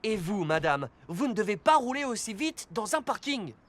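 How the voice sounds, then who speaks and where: Firm rescue police car with lively pitch, woman-voiced. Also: moderate pace, a crowd in the background, close to an everyday voice. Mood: firm teaching. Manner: firm, instructive. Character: calm, authoritative talking rescue police car giving safety lessons. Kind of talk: children's cartoon dubbing. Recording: music behind the voice.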